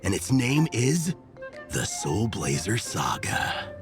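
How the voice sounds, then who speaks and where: Earnest young male zealot, bombastic declaiming, very animated, video game, background music. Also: lowish voice, takes it slowly, heavily acted. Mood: grandiose. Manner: pompous, archaic dramatic declaiming.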